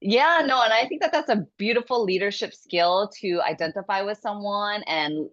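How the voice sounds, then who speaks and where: A woman hosting a podcast interview, encouraging and affirming her guest with quick praise and frequent backchannels. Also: medium pitch, fairly bright tone, dull audio. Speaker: podcast host, communication coach. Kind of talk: casual interview talk.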